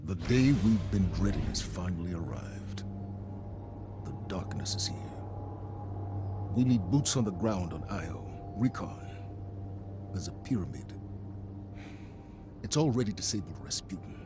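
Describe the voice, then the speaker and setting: Weighty, low-voiced male commander, game briefing, stern and authoritative, music underneath. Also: sing-song, unhurried, pauses often. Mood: grave, urgent. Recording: music behind the voice.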